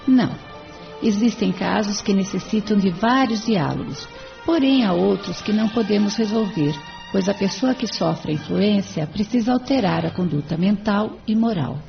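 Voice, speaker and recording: Gravelly creak, actress as serene Brazilian female spirit, devout, patient, didactic, music behind, dull audio drama recording. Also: mid-range voice, expressive, normal speed. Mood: serene, instructive. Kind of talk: audio drama acting in Portuguese.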